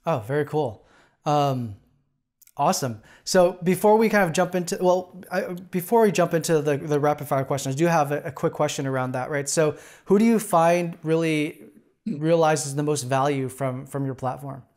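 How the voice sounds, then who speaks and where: Male podcast host, casual, slightly higher pitch, chatty and filler-prone. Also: normal speed. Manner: relaxed, filler-heavy, says "got it" often. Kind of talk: casual interview chat.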